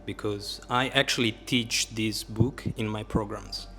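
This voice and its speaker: A man's voice: on the low side, fairly even intonation, a little slow, vocal fry, over music. A man speaking English as a second language as host, asking leading, coach-like questions in a casual interview. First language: Italian.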